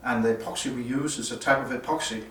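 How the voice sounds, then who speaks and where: Male product designer, explanatory and halting delivery, medium pitch, picked up at a distance with faint noise. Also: steady tone, a bit bright. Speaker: loudspeaker designer presenting at a product premiere. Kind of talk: semi-technical product presentation, unscripted. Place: indoor room at an audio show. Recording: roomy, distant mic, some background noise.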